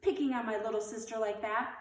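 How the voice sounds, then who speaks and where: A woman's voice: a little high, steady tone, brisk, pitched above the performer's own voice, natural voice. A woman reading a storybook aloud, voicing a bossy, exasperated young girl with an emphatic delivery. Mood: exasperated, scolding. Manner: scolding, emphatic. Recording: roomy echo, distant mic.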